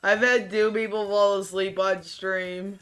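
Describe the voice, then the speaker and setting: A streamer chatting casually while playing a game, with a raspy, monotone voice that sounds tired and low-key, rambling and often restarting sentences. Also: measured pace, faint music behind.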